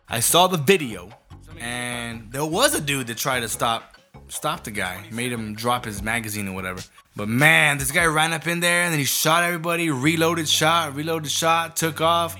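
Blunt ranting man, bright voice. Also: medium pitch. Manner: blunt, profane, animated. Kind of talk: casual comedic rant.

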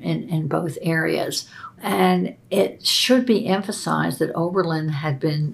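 A middle-aged woman speaks as an expert in an interview. Her voice is low and gravelly with plenty of creak, and she chooses her phrases in a deliberate, scholarly way. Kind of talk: unscripted interview commentary.

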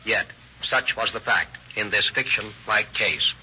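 A male narrator with a fairly low, hard-edged voice. He reads formally and deliberately in 1930s radio style. The recording is muffled with faint hiss. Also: pushed and bright. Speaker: police presenter and narrator.

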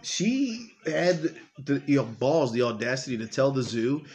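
A man co-hosting a casual podcast, cursing freely and ranting loudly in crude banter. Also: medium pitch, a little slow. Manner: profane, loud, animated rants. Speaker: podcast co-host.

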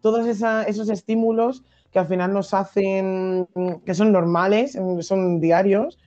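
Young madrid woman, warm dark voice, talks it through slowly w lots of ums, kinda muffled audio. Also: mid-range voice, steady tone. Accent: Madrid Spanish. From Madrid, Spain. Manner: thoughtful, hesitant, many fillers and restarts. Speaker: guest activist. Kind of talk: podcast interview.

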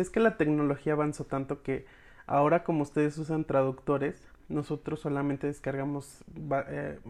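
Slangy Mexican podcast recapper. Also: medium pitch. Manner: slangy, expressive, acts out dialogue casually. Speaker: podcast reviewer recapping episodes for followers. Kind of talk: informal storytelling recap, addressing the audience as friends.

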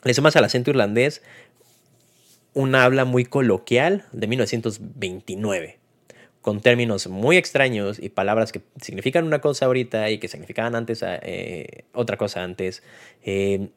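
Casual Mexican man, slightly low voice. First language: Spanish. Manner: conversational, colloquial, retells scenes with acted-out quotes. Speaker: film podcast host and reviewer. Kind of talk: casual, unscripted film review.

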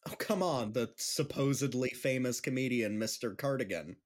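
A man's voice: medium pitch, moderate pace, lightly acted. A man playing an upbeat, chatty friend and amateur comic in an audio drama, with a playful, teasing manner. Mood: casual, puzzled.

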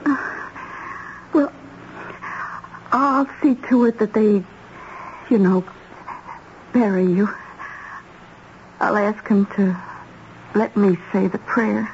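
An actress playing a tender, distressed young woman in a radio drama, halting and emotional. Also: mid-range voice, steady tone. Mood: tearful, tender. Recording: muffled, noisy old radio recording.

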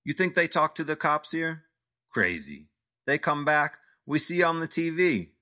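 A man's voice: mid-range voice, lively intonation, normal speed, fairly bright tone, voice pushed up, natural voice. A man voicing a menacing male crime boss who speaks clipped, broken English.

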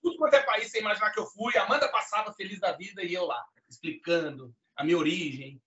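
Man with gaúcho accent, animated joker, high bright voice, dull lo-fi sound. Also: quick pace, roomy sound. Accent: Rio Grande do Sul (gaúcho). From southern Brazil. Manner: lively, animated, jokey talk. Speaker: podcast guest. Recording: muffled lo-fi audio.

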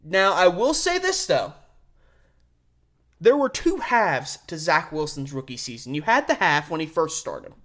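A man hosting a sports radio show solo, giving opinionated, emphatic commentary with deliberate pauses and rhetorical questions. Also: fairly high pitch, fairly bright tone.

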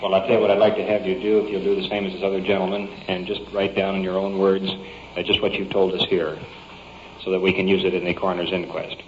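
A man's voice: sits low, level tone, quick pace. A man working as a police sergeant, formally and politely questioning witnesses. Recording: dull, echoey, faintly noisy field recording.

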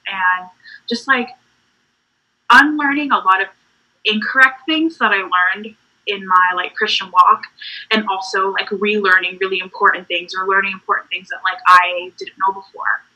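Young woman from puerto rico, bright breathy voice, chatty and laughing a lot. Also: mid-range voice, fairly even intonation, moderate pace, low-quality recording. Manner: warm, laughing, filler-heavy. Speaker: podcast guest. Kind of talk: casual faith chat.

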